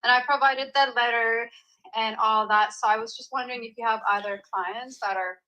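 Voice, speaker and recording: Female caller from Ethiopia, slightly high and bright, informal, hesitant question-asking. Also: steady tone, moderate pace, lo-fi sound. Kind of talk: casual, tentative question on a live call.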